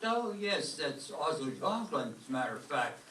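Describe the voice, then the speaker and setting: An actor playing a courteous, businesslike school superintendent in an old-time radio comedy. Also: male voice, fairly high pitch, sing-song, moderate pace, bright, forceful tone. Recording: echoey stage, distant mic, clear noise.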